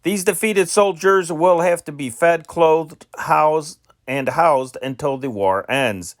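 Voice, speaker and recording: A man reading wartime newspaper reports aloud as an amateur newsreader, phrase by phrase in a deliberate manner, with an occasional stumble. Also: fairly high pitch, no room sound, studio quality. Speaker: amateur news reader and channel host.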